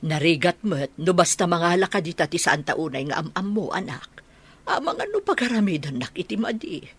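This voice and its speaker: A woman playing a protective, testing mother of a grown son in a radio drama, with a husky voice and an Ilocano accent. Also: lowish voice, very animated, normal speed, full character voice. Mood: concerned.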